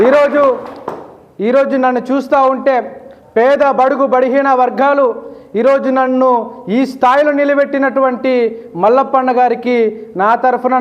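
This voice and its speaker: A man, a local political leader giving a formal thank-you speech in repetitive, oratorical phrases. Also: very high-pitched. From Adoni region, Andhra Pradesh, India. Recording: dull, lo-fi sound.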